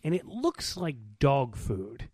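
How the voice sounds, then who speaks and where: A man's voice: medium pitch, wildly swooping pitch, slow pace, dark tone, slightly rough, dry recording, clean studio sound. A man co-hosting in casual banter, asking questions and teasing in slangy everyday speech. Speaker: podcast co-host.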